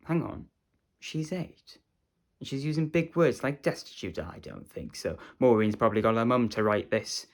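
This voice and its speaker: A man's voice: medium pitch, lively intonation, moderate pace, close to an everyday voice. A man playing a pompous, fussy literary celebrity in a comic stage monologue, sounding dismissive. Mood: sneering, dismissive. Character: pompous, fussy, sneering male literary celebrity reading fan mail.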